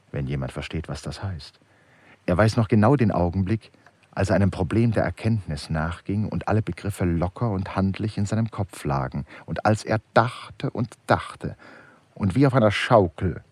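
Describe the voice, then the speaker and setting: Very deep, husky male literary narrator. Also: a little mellow, no echo. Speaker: radio play narrator.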